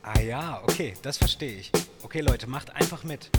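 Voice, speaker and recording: A man's voice: mid-range voice, animated, moderate pace, over loud music, natural voice. A man playing a casual member of a friend group in a Halloween audio play, speaking conversationally. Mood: upbeat.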